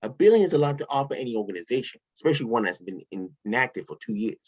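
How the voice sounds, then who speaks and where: A breezy, casual billionaire, read by a man in a home table read. His voice jumps all over the place in pitch and stays loose and casual. The sound is dull and lo-fi. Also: expressive, very fast, husky. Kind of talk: amateur online table read.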